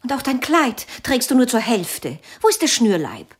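A woman's voice: fairly high pitch, big pitch swings, normal speed, slightly rough. A woman acting a fussy, fashion-minded mother in a German radio drama, chiding and affected.

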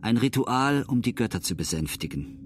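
A man's voice: mid-range voice, lively intonation, moderate pace, slight background noise. A man reading scripted documentary narration as a storyteller of history.

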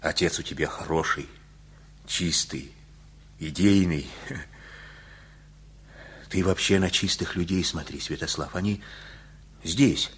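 A kindly older neighbour in a radio drama. His voice is very low and husky. He moralizes in homespun, colloquial phrases, like a favourite uncle. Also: a bit bright, full character voice. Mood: earnest admonishing. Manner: avuncular, unhurried, colloquial preaching. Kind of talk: radio play acting.

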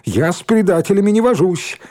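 Man putting on a sly, high-pitched singsong schoolgirl. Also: expressive, moderate pace, darkish tone. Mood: mocking, gleeful. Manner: singsong, gloating. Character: mocking, sly, spiteful schoolgirl.

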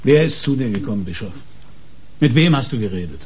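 An actor playing a dogged, impatient police inspector in a radio crime drama, probing and suspicious, with a husky, muted voice. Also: male voice, mid-range voice, animated, fairly quick, darkish tone. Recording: reverberant hall-like radio drama scene.

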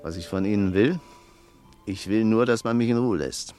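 A male actor plays a wily, self-satisfied man in a radio play who trusts nobody. The voice is deep and confiding, speaking deliberately, with a little music behind it. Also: a little mellow, lightly acted. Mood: defensive. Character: shrewd, self-satisfied old hand, suspicious man in exile.

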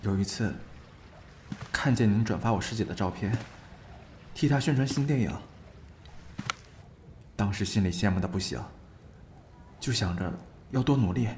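A man's voice: fairly low pitch, normal speed, long pauses, darkish tone, a little hiss or hum, lightly acted. A man playing an earnest, deferential young actor in an audio drama, speaking politely and hesitantly. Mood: shy, earnest. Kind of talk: acted dramatic dialogue.

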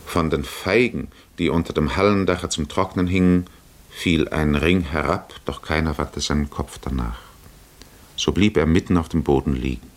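An actor playing a solemn epic storyteller, narrating in a measured, poetic way in a 1950s radio drama. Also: male voice, a bass voice. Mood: solemn, spellbound.

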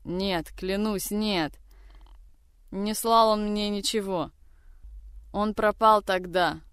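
A woman's voice: medium pitch, fairly even intonation, slow, fairly bright tone, clear, resonant tone, dry recording, clean studio sound. A woman voicing a terrified captive woman who desperately denies knowing anything. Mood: pleading. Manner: pleading, desperate denials.

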